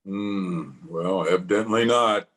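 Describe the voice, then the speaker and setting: A man's voice: low-pitched, slow pace, a bit bright. A man playing a stern, dry, formal middle-aged father in an old-time radio drama. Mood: coolly disapproving. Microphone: video call.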